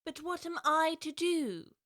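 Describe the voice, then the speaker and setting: An actress playing a polite, curious young girl who speaks earnestly, timid yet quick to object. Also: very high voice, expressive, fairly bright tone, no room sound, studio quality, heavily acted. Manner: earnest, timid yet indignant, well-spoken. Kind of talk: audiobook drama acting.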